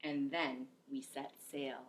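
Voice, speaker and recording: A middle-aged woman reading a picture book aloud as a teacher, expressive and explanatory, with deliberate delivery. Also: extremely low pitch, rough recording. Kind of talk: expressive children's story reading.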